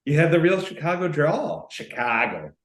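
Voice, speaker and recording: A man's voice: on the high side, big pitch swings, normal speed, reverberant. A man from Arkansas co-hosting a cocktail show over a video call from his home, teasing and explaining with confidence. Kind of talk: casual video call chat. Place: home in Miami.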